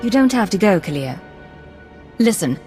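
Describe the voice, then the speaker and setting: An actress playing a cool-headed, defiant Imperial agent in a video game, with clipped, dry delivery. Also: female voice, medium pitch, big pitch swings, normal speed, dark tone, faint music behind, lightly acted.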